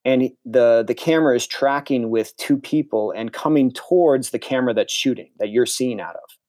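A man's voice: medium pitch, normal speed, darkish tone, no room sound, clean studio sound. A young man from Connecticut, a filmmaker being interviewed, talking casually and enthusiastically with frequent restarts. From Connecticut, USA. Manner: rambling, restarts often, enthusiastic. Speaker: filmmaker guest.

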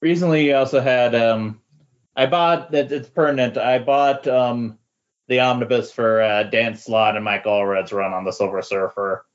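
A man chatting casually as a podcast guest, halting and rambling as he corrects himself. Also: mid-range voice, steady tone, highs cut off. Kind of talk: casual chat about comic books.

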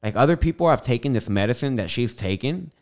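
A man's voice: medium pitch, animated, fast, a little mellow. Man with a noticeable lisp. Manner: rambling, frequent fillers and tangents. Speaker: podcast host. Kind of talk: casual spiritual monologue. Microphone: studio microphone.

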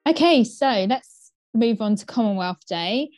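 A woman's voice: a little high, lively intonation, measured pace, a little mellow, soft background music. An English woman co-hosting a podcast, talking in an easy, agreeable way and peppering her talk with "you know". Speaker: podcast co-host. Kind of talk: casual chat.

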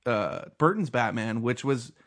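A man's voice: mid-range voice, expressive, a little slow, fairly bright tone. A man hosting a casual chat about film news, talking with many fillers and restarts.

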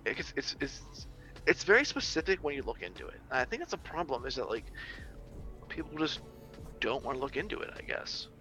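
A man's voice: mid-range voice, big pitch swings, a little fast, bright, forceful tone, soft background music, low-quality recording. A young adult man in casual conversation, rambling through ideas with many restarts and fillers. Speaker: social media creator on biblical masculinity.

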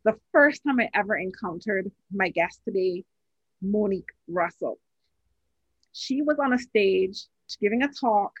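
A woman from Barbados hosts a podcast, earnest and steady, stressing key words by saying them again. Her voice is husky. The sound is dry and a little muffled. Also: mid-range voice, dry recording. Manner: earnest, repeats phrases for emphasis. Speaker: podcast host. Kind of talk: podcast interview.

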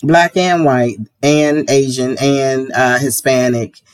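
A woman from the United States hosting her own podcast, a little hoarse from a sore throat, talking earnestly and with strong opinions. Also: rumbling low, fairly even intonation, takes it slowly. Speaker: podcast host. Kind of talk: casual solo podcast talk.

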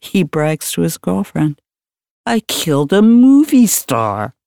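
A man's voice: a little high, very animated, creaky, warm tone, no room sound, clean studio sound, exaggerated voice. A man playing a matter-of-fact homicide detective, narrating a case in a terse, hard-boiled audio drama style. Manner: deliberate, terse storytelling.

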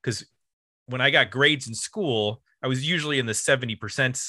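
A middle-aged American man co-hosting a music podcast, chatting casually in a self-deprecating, meandering, halting way. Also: medium pitch, pushed and bright, no room sound, clean studio sound. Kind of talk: casual album discussion.

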